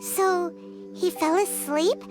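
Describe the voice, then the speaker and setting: A woman voicing a tiny, chatty, childlike fairy companion in a video game, squeaky and given to exclaiming. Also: steady tone, slow pace, over loud music. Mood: puzzled. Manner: refers to self in third person, exclaiming.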